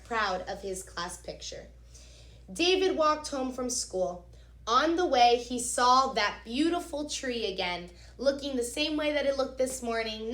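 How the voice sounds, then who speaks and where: A woman reading a picture book aloud as a teacher, expressively, pausing to explain things directly to young children. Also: high voice. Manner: expressive, instructive, addresses young listeners directly. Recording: echoey, reverberant room.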